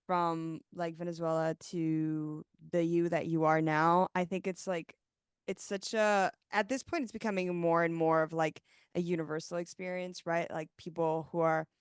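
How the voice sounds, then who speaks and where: A woman's voice: fairly low pitch, level tone, normal speed, clear, resonant tone, dry recording, clean studio sound. A woman hosting a podcast, chatting casually and reflectively with many fillers. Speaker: podcast host. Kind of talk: casual interview chat.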